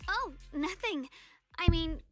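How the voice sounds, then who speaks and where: A woman's voice: extremely high pitch, lively intonation, slow, soft background music, exaggerated voice. A woman voicing an earnest, concerned and determined young swordswoman in a video game.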